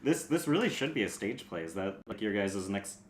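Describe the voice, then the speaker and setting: A man in a casual, comedic podcast book discussion, talking in an animated, profane way. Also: on the low side, fairly quick, a loud crowd. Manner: animated, acts out joke voices, profane. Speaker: podcast panelist. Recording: echoey room with faint noise.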